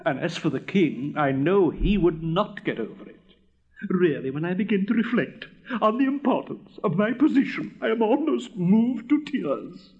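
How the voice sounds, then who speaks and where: A man's voice: high voice, animated, moderate pace, darkish tone, dull audio. A man voicing a vain, pompous, easily offended firework rocket in a storybook reading. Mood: self-important. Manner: pompous, severe, indignant.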